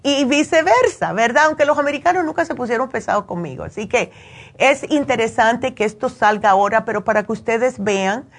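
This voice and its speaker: A woman's voice: fairly high pitch, brisk. A woman from Cuba, raised in the United States, hosting a call-in show and chatting conversationally, often checking for agreement. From born in Cuba, raised in the United States. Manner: chatty, frequently seeks agreement with tag questions. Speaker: radio call-in show host. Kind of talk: conversational advice talk with personal anecdotes.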